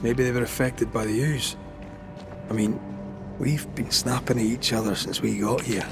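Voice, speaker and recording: A man's voice: mid-range voice, steady tone, normal speed, gravelly, music in the background, close to an everyday voice. A male actor playing a dry, sardonic ghost hunter in a video game, in a naturalistic style. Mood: wry, investigative. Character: wry, gruff adult male ghost hunter.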